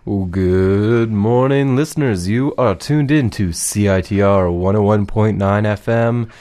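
A man's voice: fairly low pitch, measured pace, dark tone. A man co-hosting a casual morning radio show.

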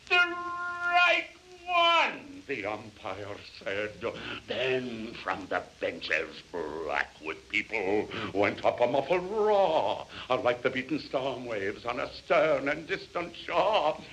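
A man recites verse in a theatrical, declamatory way, with a bright, forceful voice that swoops widely in pitch. He takes his time and leans on key words for drama, over some faint noise. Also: very animated, takes it slowly, rough recording.

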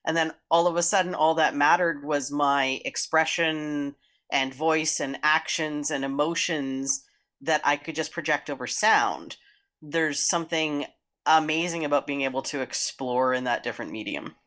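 A woman speaking as an interview guest, talking in a thoughtful, measured way about her work. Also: sits low, a bit bright. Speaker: guest, actor. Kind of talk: reflective interview conversation.

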